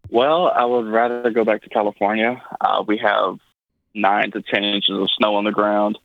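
A man from Tennessee talks as a guest, rambling through his jokes. His voice sits on the low side. The sound is dull and lo-fi, dry and close. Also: level tone, moderate pace, creaky, no echo. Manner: joking, rambling storyteller. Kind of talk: casual chat on a video call.

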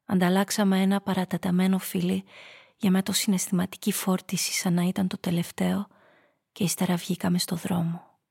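An actress playing a hard-boiled femme fatale who narrates her downfall in a radio drama, in a measured, confessional way. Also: lowish voice, little pitch movement, gravelly, a little mellow, slightly rough. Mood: dark, haunted.

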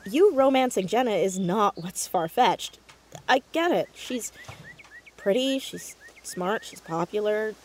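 A woman's voice: medium pitch, animated, slow, a little hiss or hum. A young woman playing an earnest, inquisitive teenage girl sleuth in a naturalistic audio drama, chatty and stammering when flustered. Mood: awkward, apologetic.

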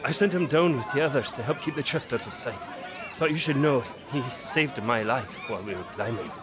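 A man's voice: mid-range voice, lively intonation, a little fast, crowd noise, close to an everyday voice. A man playing a brave, terse leader of an adventuring party in a fantasy audio drama, speaking in clipped orders. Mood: steady. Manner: clipped, commanding.

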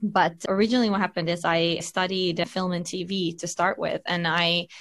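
Relaxed woman from Finland, lowish voice. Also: moderate pace, dry recording. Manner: relaxed, reflective, lots of fillers and restarts. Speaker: venture capital partner, guest. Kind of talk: conversational podcast interview.

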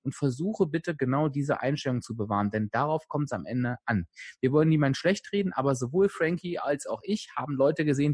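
A man co-hosting a podcast in a conversational, motivational style, speaking emphatically and directly to the listener with a slightly husky voice. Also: medium pitch, no room sound, studio quality. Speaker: podcast co-host.

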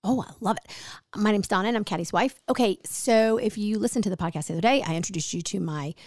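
Chatty woman with a slightly gravelly voice. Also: mid-range voice, fast, a little mellow, dry recording, clean studio sound. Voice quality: gravelly, hoarse. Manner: chatty storyteller, acts out quoted dialogue. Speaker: podcast host. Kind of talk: casual chat.

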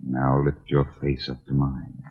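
An actor playing a smooth, cocky detective in 1940s radio style, with wry, taunting, self-assured delivery. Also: male voice, extremely low pitch, completely flat delivery, unhurried, heavily acted. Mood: playful, hypnotic. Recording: dull, lo-fi 1940s radio recording.

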